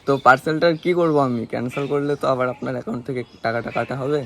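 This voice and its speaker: A man's voice: medium pitch, racing, vocal fry, heavy background noise. A young man, chatting casually and teasingly on a prank call. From West Bengal, India. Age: young adult. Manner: teasing, playful. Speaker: prank caller, vlogger. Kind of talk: casual teasing phone chat.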